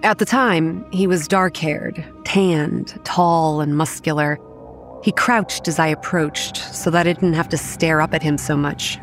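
A young woman acting as narrator of a horror audio drama, recounting grim childhood memories in a measured, matter-of-fact way. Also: deep voice, steady tone. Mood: grave, measured. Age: young adult. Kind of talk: scripted first-person horror narration. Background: soft music.

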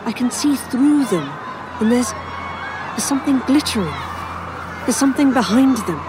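A woman's voice: on the high side, animated, moderate pace, a crowd in the background, noisy background, natural voice. A woman playing an inquisitive, wry author in an audio drama, rambling and musing as she talks. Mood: awed.

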